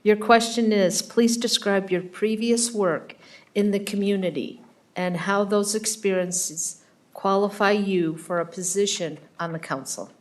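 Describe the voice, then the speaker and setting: A woman's voice: medium pitch, fairly even intonation, unhurried. A woman moderating a city council meeting, courteously reading out an interview question in a council chamber.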